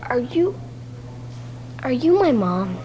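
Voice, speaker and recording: An actress playing a confused, childlike lost girl searching for her mother in an audio drama, asking in slow, halting questions. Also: sits high, very animated, mellow, slight background noise, heavily acted. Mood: anxious, puzzled.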